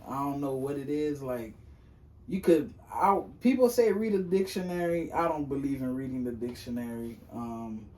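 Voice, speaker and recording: A man's voice: expressive, normal speed, a little mellow, far from the mic. Laid-back young man, slangy and rambling. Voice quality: high-pitched voice. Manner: loose, rambling, heavy slang and fillers. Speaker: rapper and singer guest. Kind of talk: casual podcast chat.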